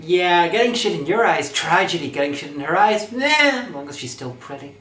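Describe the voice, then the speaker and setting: Snarky theatrical film review host, super animated, bossy like royalty, echoey room w a bit of noise. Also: medium pitch, brisk. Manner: sarcastic, theatrical, mock-imperious. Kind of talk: scripted comedic review commentary. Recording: roomy echo, faint background noise.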